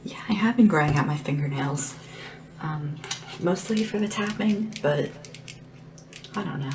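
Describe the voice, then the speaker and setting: A woman playing a small, devoted, gentle assistant to a dragon monarch in a soft-spoken, often whispered ASMR roleplay, unhurried and deferential. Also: sits low. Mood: soothing, chatty. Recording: clearly noisy lo-fi ASMR recording. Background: tapping and background hiss.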